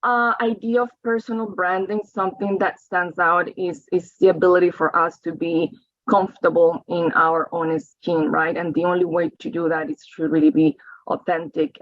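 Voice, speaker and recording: A woman's voice: lowish voice, no room sound. A woman, giving a reflective talk over slides and often checking in with "right?" and "you know". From Colombia. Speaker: guest speaker. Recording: dry, slightly dull audio.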